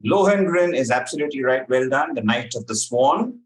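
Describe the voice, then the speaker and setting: A man hosting a quiz, reading questions to contestants and explaining answers in a measured, articulate way. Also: medium pitch, expressive, poor audio. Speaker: quiz master.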